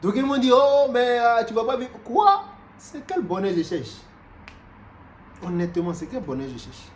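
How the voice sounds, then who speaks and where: A man from Cameroon, preaching a sermon in an animated way, moving from loud exclamations to quiet asides and repeating himself often. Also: very high voice, normal speed. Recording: roomy echo with faint background noise.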